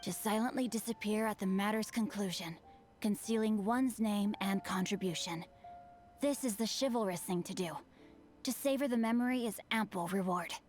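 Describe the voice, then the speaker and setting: A woman voicing a refined, bookish teenage boy swordsman in a video game, speaking in a formal, flowery, high-minded way. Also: moderate pace, a little mellow, faint music behind, lightly acted. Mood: lofty.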